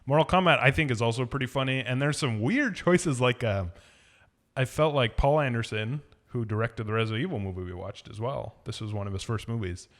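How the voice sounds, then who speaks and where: A man's voice: mid-range voice, fairly quick, fairly bright tone. A man chatting casually and giving opinions as a podcast co-host, informal and prone to swearing. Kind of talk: casual movie review chat.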